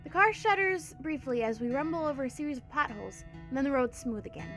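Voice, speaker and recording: A woman with a congested voice reading the lines of a quiet, weary male drifter in a story game. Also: lively intonation, quick pace, faint music behind. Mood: subdued. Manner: low, subdued reading.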